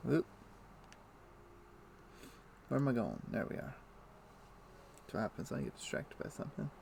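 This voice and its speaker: Gravelly man streaming a game. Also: medium pitch, moderate pace, slight background noise. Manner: relaxed, thinking aloud to himself. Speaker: live streamer. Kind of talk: casual gameplay commentary. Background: background music.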